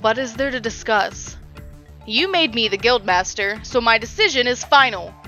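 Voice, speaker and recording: A woman's voice: fairly high pitch, animated, moderate pace, pushed and bright, faint music behind. A woman playing a stubborn, breezy guildmaster and fighter in a fantasy audio drama, talking in a casual, flippant way. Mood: firm, casual.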